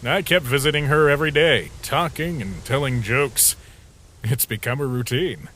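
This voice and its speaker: A man's voice: mid-range voice, normal speed, fairly bright tone, slight background noise, lightly acted. A man voicing an easygoing, joke-loving skeleton sentry in a comic dub, casual and musing. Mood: wistful, musing. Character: easygoing skeleton sentry who loves jokes, troubled by nightmares. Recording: music behind the voice.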